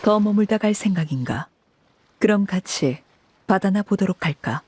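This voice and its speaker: A woman voicing a cool, composed matriarch in an anime game, speaking in a measured, formal and aloof manner. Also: mid-range voice, lively intonation, darkish tone, a little hiss or hum, close to an everyday voice. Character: cool, commanding woman, composed head of an orphanage family.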